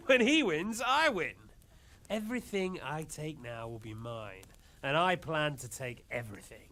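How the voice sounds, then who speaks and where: An actor playing a smug, cruel warlord who taunts his captive in a theatrical, mock-polite, deliberate way, as in a video game cutscene. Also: a little high, pushed and bright.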